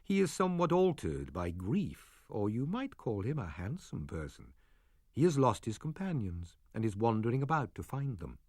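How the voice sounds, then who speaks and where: A man reading the part of an aged sorcerer and father, with a husky, measured and solemn delivery. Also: medium pitch, very animated, a little mellow, no room sound, studio quality. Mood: reassuring. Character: aged male sorcerer, stern but loving father. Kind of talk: audiobook drama reading.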